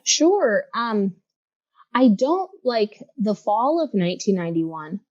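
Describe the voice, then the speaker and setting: A woman's voice: mid-range voice, sing-song, a little mellow, dry recording, dull audio. Thoughtful woman in her thirties, dry sound. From Los Angeles. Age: 30s. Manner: reflective, halting, many fillers. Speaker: guest. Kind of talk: casual interview.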